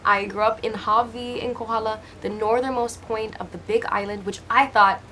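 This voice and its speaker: A woman in her twenties from Hawaii, an actress answering interview questions in an earnest, expressive way with frequent restarts. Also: on the high side, moderate pace, a little hiss or hum. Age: 20s.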